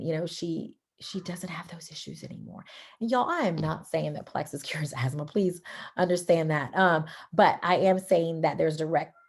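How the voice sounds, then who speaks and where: A hoarse, raspy woman from Louisiana with a Southern accent, chatting through a sales presentation on a video call, full of fillers and y'alls. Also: sits low, darkish tone. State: hoarse from talking too much. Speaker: supplement seller presenting on a call.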